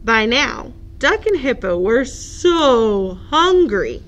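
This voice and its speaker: A woman's voice: on the high side, very animated, slow, a bit bright. A woman narrating a children's picture book expressively, as a librarian at story time.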